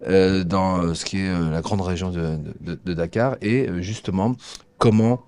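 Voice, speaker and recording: A man giving formal public remarks as an agency representative, speaking haltingly with frequent hesitations and repeated words. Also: low voice, a little mellow, clean tone, no echo.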